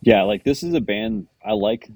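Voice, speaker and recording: A man's voice: on the low side, lively intonation, normal speed, a little mellow, no room sound. A man guest on a music podcast, talking candidly with hesitant restarts. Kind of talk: casual music chat.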